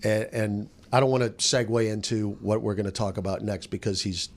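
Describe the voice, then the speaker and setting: A man's voice: lowish voice, fairly even intonation, a little fast. An American man, a sports radio announcer, giving casual analysis on a roundtable discussion.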